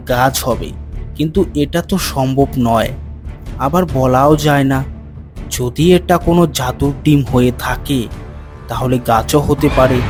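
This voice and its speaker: A man narrating a scripted cartoon moral story as a storyteller. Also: medium pitch.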